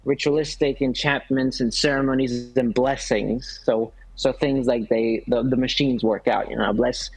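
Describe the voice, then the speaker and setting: A man chatting casually, explaining things haltingly and often repeating his phrases as he goes. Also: mid-range voice, fairly even intonation, poor audio. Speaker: guest on a live work stream. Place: hotel room.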